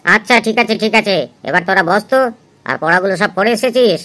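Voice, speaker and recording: A woman's voice: mid-range voice, a little fast, a bit bright, close to an everyday voice. A woman playing a stern, exasperated schoolteacher who scolds and lectures pupils in an amateur cartoon dub.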